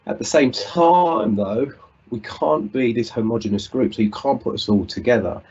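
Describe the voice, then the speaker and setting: A man's voice: medium pitch, moderate pace, darkish tone, husky, muffled recording. A British man giving an earnest, reflective conference talk over a video call, often restarting his sentences. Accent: British English. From United Kingdom. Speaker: conference speaker.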